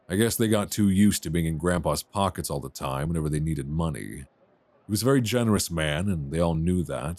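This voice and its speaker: Guy playing a flustered flirty passenger, deep voice, rambly and self-deprecating, romance asmr roleplay, super dry close mic. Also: a little mellow, no room sound. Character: chatty, flustered, warmly flirtatious male airline passenger. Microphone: close binaural microphone.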